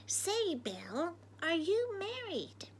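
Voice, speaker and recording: A woman's voice: very high voice, wildly swooping pitch, extremely slow, a little hiss or hum, pitched above the performer's own voice, exaggerated voice. A woman voicing a cheeky, polite woman burglar in a storybook reading, chatty and genteel.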